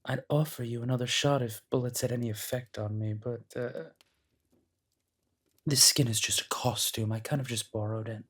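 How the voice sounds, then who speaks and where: A man's voice: mid-range voice, steady tone, fairly bright tone, slightly rough. A man playing an ancient monster in human guise, mockingly polite and possessive, in a theatrical horror audio roleplay. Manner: taunting, theatrical, drawn-out delivery.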